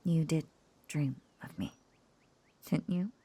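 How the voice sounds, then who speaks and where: A woman playing an obsessive, lovesick young witch who coos over a captive beloved, clingy and breathy with frequent whispers, in an intimate roleplay audio drama. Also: deep voice, slow pace, mellow, heavily acted. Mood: tender, adoring. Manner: cooing, clingy, breathy, often dropping into whispers.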